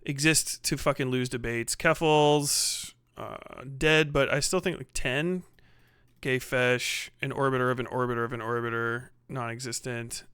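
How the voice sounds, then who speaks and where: Streamer, male, casual profane commentary, mumbles asides; smooth voice, dead-dry room. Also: mid-range voice, fairly even intonation, unhurried, a bit bright, dry recording. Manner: profane, muttering to himself while working. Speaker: livestreamer.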